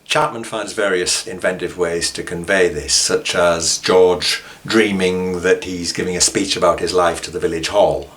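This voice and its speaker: Low-pitched man presenting. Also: normal speed, hardly any pauses, fairly bright tone. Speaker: author and presenter. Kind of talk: informal documentary commentary.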